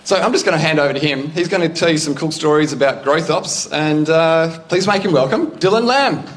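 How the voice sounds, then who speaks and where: An Australian man hosting a startup meetup, making relaxed, chatty announcements to a live audience in a room. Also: a little high, a little fast, a little hiss or hum. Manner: chatty, relaxed, loose with fillers. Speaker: event host. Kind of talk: casual event announcements.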